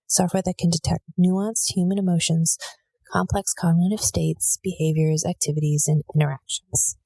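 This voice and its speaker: A woman's voice: on the low side, gravelly, dark tone, slightly rough, no echo, rough recording. A woman hosting a podcast, introducing it and asking interview questions. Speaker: podcast host.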